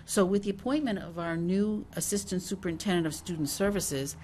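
A woman working as a school nurse, reading a prepared report aloud at a meeting in a formal, measured way. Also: on the low side, darkish tone, a little hiss or hum. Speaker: school nurse presenting a project. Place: mid-sized meeting room with seated public. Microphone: gooseneck table microphone.

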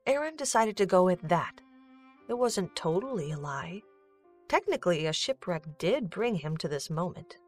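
A woman's voice: lowish voice, sing-song, normal speed, soft background music. A woman giving scripted narration for a storybook-style audio drama.

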